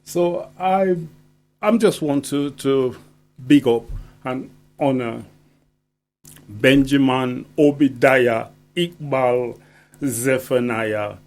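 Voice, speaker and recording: A man talking as a poet guest on radio, deliberate and emphatic, often checking he is understood. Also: mid-range voice. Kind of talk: conversational radio interview.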